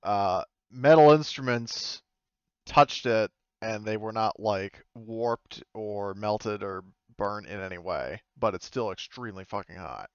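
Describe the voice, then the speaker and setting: A man's voice: fairly low pitch, clear, resonant tone, dry recording, dull audio. A man running a tabletop game over a voice call, improvising his descriptions casually and breaking off often to rephrase. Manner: halting, frequent self-interruption. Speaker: game master. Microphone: online voice call.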